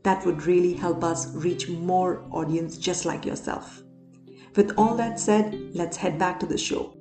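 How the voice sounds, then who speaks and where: A woman's voice: fairly low pitch, fairly even intonation, measured pace, a little mellow, echoey room, soft background music. A woman hosting a podcast, reading scripted narration in an earnest way that speaks straight to the listener. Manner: earnest, direct address to listener. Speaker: podcast host.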